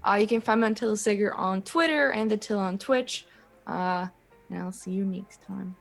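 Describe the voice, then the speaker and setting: Girl streamer, lots of vocal fry, casual chat, faint music behind. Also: mid-range voice, moderate pace. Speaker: player and streamer.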